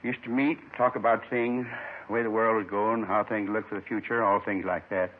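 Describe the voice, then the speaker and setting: An actor playing a lonely, gentle man in his seventies, folksy and wistful, confessing in short rambling phrases in 1950s radio drama style. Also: on the low side, fairly even intonation, normal speed, close to an everyday voice. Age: 70s. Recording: muffled 1950s radio recording.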